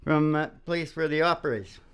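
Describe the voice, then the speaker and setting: A man's voice: a little high, a little fast. A man chatting casually and ramblingly between songs as host of a live music show, folksy and often chuckling.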